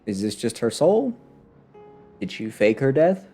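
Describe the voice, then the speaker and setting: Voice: medium pitch, big pitch swings, a little slow, dark tone, soft background music. A streamer giving casual, slangy commentary over a video game in a sarcastic, questioning manner. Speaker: live streamer.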